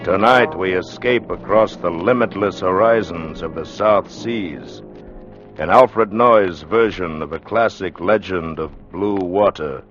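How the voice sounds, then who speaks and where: An American man announcing in dramatic 1940s radio style, with ominous, drawn-out phrasing. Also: low-pitched, a bit bright. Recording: muffled vintage radio recording, music behind.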